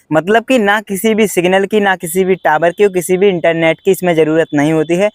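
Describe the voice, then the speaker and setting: A man's voice: on the high side, darkish tone, no echo. A man giving a plain, step-by-step explainer to viewers as a vlog host. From India. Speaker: host, railway pointsman vlogger.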